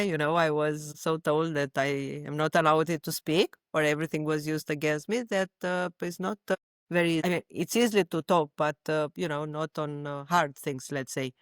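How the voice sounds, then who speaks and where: Woman, Romanian accent, native Romanian speaker, smooth clear voice, dry close sound, hesitant with many pauses. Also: low voice, dry recording. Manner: halting, frequent "uh" pauses. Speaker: psychotherapist guest. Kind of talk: podcast interview.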